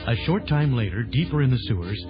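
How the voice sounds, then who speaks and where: A man's voice: medium pitch, big pitch swings, quick pace, lightly acted. A man narrating a children's adventure audio drama with a theatrical announcer's delivery. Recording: dull band-limited old audio drama recording. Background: loud dramatic music.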